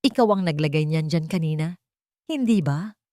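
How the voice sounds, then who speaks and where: A woman's voice: deep voice, lively intonation, moderate pace, mellow, no echo, clean studio sound. A woman voicing a troubled, hesitant wealthy man in an audio drama, in an earnest and questioning manner. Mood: worried.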